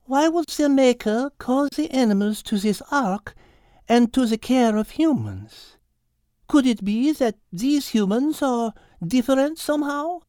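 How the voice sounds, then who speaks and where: A woman voicing a thoughtful, logical female cat with a French accent, speaking in a measured, precise way in an audiobook. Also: high-pitched, big pitch swings, dark tone, no echo, studio quality. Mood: wondering. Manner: measured, precise, reasoning aloud. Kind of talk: audiobook character acting.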